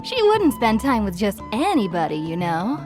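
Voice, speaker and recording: A woman's voice: medium pitch, wildly swooping pitch, moderate pace. A woman voicing a cheerful, gossipy young tavern keeper in a video game. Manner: friendly, gossipy. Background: game music.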